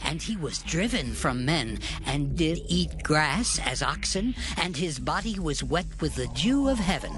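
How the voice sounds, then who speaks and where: A man's voice: a little high, very animated, measured pace, hardly any pauses, background noise. A man narrating a dramatized scripture reading as a solemn storyteller. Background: music.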